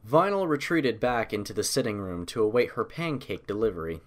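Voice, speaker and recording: A man's voice: fairly low pitch, big pitch swings, fairly quick. A man reading scripted story narration as the narrator of an audio tale.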